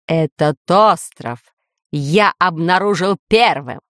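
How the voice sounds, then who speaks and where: A woman voicing a booming, grandiose masked villain who rules an island, with imperious, drawn-out speech, in a children's storybook. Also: animated, fairly bright tone, dry recording, clean studio sound, exaggerated voice. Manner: thundering, drawn-out, imperious delivery. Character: booming masked villain, self-proclaimed lord of dinosaur island, grandiose, menacing.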